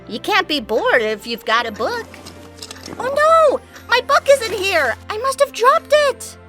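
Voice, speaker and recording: A woman voicing an earnest, bookish young female unicorn with an eager, expressive delivery, for a fan comic dub. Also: extremely high pitch, measured pace, soft background music, full character voice.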